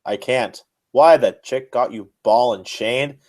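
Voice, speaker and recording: A man's voice: medium pitch, slow, darkish tone, close to an everyday voice. A man voicing an exasperated, stern and reluctant male wrestler, curt and weary, in a dramatic reading of fan fiction.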